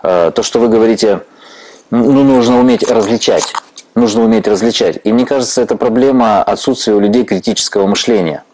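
A man in his forties from Ukraine with a fairly low voice who conducts a relaxed interview on a church stage. He talks candidly and reflectively, often breaking into a laugh. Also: fairly quick, slight background noise, low-quality recording. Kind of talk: conversational interview.

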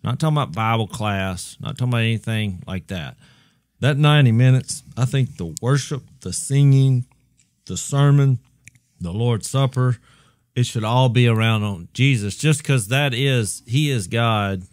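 A man's voice: medium pitch, moderate pace, a little mellow, no room sound. A middle-aged man on a podcast, talking earnestly and passionately about church, close to a studio mic in a small room. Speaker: podcast co-host. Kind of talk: casual conversation. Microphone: close studio mic with headphones.

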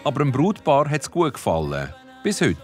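A man's voice: medium pitch, huge pitch swings, moderate pace, music in the background. A man, narrating a TV retrospective with a light, wry touch. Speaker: show host, narrator. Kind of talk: scripted TV voice-over narration.

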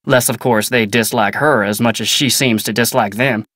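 A man acting as a plain-spoken American cowboy foreman from a video game. He is polite but guarded, talking in folksy, clipped phrases at a middling pitch, recorded very dry. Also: steady tone, normal speed, a bit bright, no room sound, lightly acted. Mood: plain, reserved. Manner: folksy, clipped, matter-of-fact. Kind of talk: naturalistic video game character dialogue.

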